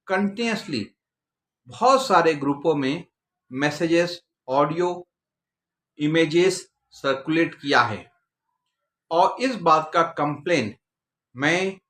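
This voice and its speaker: An Indian man delivers political commentary in a fairly high voice. He speaks very slowly and deliberately, breaking his sentences into short phrases. The sound is strongly roomy and echoey. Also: fairly bright tone. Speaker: news commentator. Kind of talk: spoken political commentary to camera.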